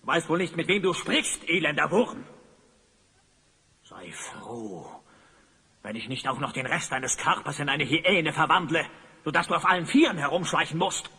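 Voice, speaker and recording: An actor playing a tyrannical, gloating evil sorcerer in an audio drama, pompous and commanding. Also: male voice, on the high side, lively intonation, normal speed, pushed and bright, slightly rough, heavily acted. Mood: menacing, haughty. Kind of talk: German audio drama acting. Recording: long cavernous reverb.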